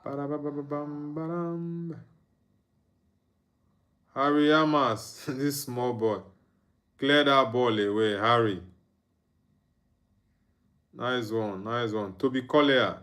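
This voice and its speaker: A man's voice: mid-range voice, fairly even intonation, takes it slowly, long pauses, fairly bright tone. A young man from Nigeria hosting a live football watchalong, chatting casually, reading viewer comments aloud and humming along. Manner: reads viewer comments aloud, chuckles, hums and sings under his breath. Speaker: watchalong streamer.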